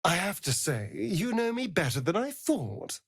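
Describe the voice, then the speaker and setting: A teasing, flamboyant male rogue for a fantasy game. His pitch swoops dramatically from low to high. The delivery is arch and theatrical, with a breathy edge and drawn-out pacing. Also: huge pitch swings, full character voice. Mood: playful.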